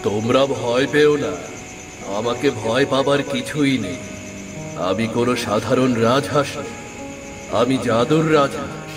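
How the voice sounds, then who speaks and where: A man voicing a calm magical talking swan in a children's cartoon, speaking in solemn, measured pronouncements. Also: medium pitch, over music, close to an everyday voice. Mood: solemn, reassuring. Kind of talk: children's moral-story cartoon acting.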